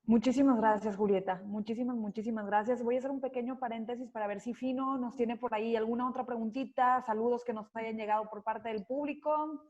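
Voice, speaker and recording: A Mexican woman with a fairly high voice hosts a live online conversation. She is colloquial and courteous, chatting informally, and the audio sounds somewhat dull and lo-fi. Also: fairly even intonation, fairly quick, hardly any pauses, a little mellow. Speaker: host.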